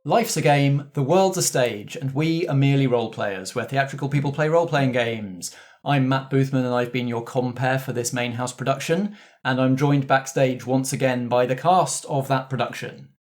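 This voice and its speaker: Male host, conversational compere steering a relaxed group discussion, mid-range voice. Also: medium pitch, moderate pace. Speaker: host and game master. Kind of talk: casual round-table discussion.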